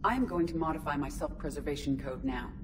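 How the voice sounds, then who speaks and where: An actress playing a female starship AI who talks in an even, formal, clipped way. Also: low voice, fast, background noise. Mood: resolute. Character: female ship AI, calm and precise.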